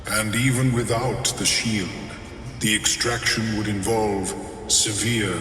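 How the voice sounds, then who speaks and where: A man playing a calm, scholarly mind flayer in a video game, formal and politely clinical, with a detached, measured manner. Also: lowish voice. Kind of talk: video game dialogue acting. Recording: echoing hall with long reverb, faint music.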